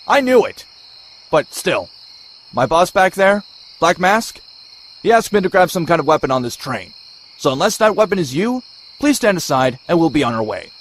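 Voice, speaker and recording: A man's voice: fairly high pitch, very animated, normal speed, long pauses. A man voicing a cocky, sardonic young masked vigilante antihero in a motion comic, full of quips. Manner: sardonic, quippy. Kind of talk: motion comic narration and dialogue. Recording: echoey, roomy sound.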